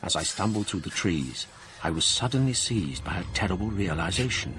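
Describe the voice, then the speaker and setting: A tense young scholar narrating. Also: male voice, low-pitched, lively intonation, noisy background. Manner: tense, measured storytelling. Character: young male scholar recalling a terror. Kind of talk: radio drama narration. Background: eerie music.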